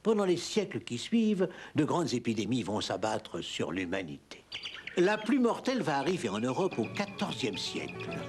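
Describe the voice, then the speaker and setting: A man reading scripted narration for an educational history cartoon, with a husky voice. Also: medium pitch, wildly swooping pitch, moderate pace, soft background music. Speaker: documentary narrator.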